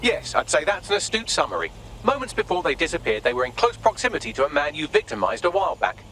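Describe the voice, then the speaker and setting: A bright, cheeky British AI assistant. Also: male voice, high-pitched, very animated, fast talker, slight background noise, full character voice. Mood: breezy, wry. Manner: wry, flippant, theatrical, wordy. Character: cheeky sardonic male AI assistant, quippy and smug. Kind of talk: video game voice acting.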